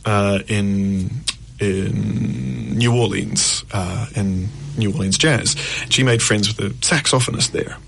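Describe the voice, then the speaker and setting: A man's voice: on the low side, creaky, fairly bright tone, slight background noise. A man in his thirties, a guest musician on a radio show, telling rambling stories and laughing often. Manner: rambling storyteller, laughs often, quotes others. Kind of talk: casual radio interview.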